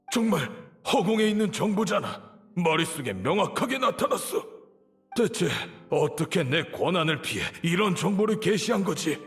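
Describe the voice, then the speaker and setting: An actor playing an imperious, suspicious older grand sage in a game, with a haughty, commanding manner. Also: male voice, on the high side, a bit bright. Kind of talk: dramatic Korean game voice acting.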